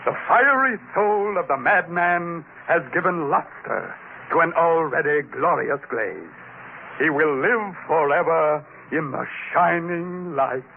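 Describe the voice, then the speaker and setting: An actor playing the solemn chief of an alien potter tribe, speaking in formal, stately phrases in a 1950s radio drama. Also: male voice, on the high side, expressive, slow, vocal fry, exaggerated voice. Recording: scratchy tinny old radio broadcast.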